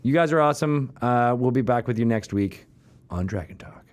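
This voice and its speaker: A man's voice: medium pitch, lively intonation, fairly quick. A man chatting casually and playfully as the host of a podcast. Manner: jokey, playful. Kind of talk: casual banter and show sign-off.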